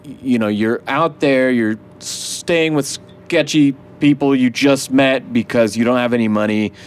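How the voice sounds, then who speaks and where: A man's voice: medium pitch, a little slow, smooth. Laughing, casual man host. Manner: laughs often, swears casually, storytelling. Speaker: interview host, musician. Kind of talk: casual interview chat.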